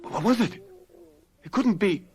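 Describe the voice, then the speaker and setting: A man's voice: high-pitched, expressive, a little slow, a little mellow. An actor playing an anxious, frightened but determined man in a gothic radio drama, with melodramatic delivery. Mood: frantic, awed. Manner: anxious, breathless exclamations, melodramatic. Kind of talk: old-time radio drama acting. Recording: noisy vintage radio drama recording.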